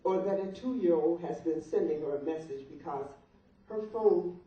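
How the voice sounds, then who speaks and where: A woman's voice: fairly low pitch, normal speed. Older female, guest reader delivering a funny open letter; distant, heavily reverberant room sound. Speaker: guest reader at a birthday party. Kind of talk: reading a humorous open letter aloud to guests. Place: large echoey room at a birthday party. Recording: muffled, very echoey room, distant mic, noise.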